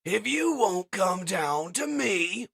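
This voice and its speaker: A man voicing an enraged, tyrannical robot warlord in an audio comic, bellowing drawn-out, imperious demands. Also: high voice, very animated, creaky, over a noisy crowd, voice pushed up, full character voice. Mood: furious, defiant. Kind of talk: audio comic dub villain acting.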